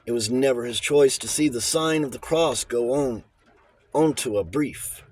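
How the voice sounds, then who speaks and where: A man's voice: medium pitch, unhurried, darkish tone, slight background noise. A man reading a novel aloud as an audiobook narrator. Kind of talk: scripted novel narration with character voices.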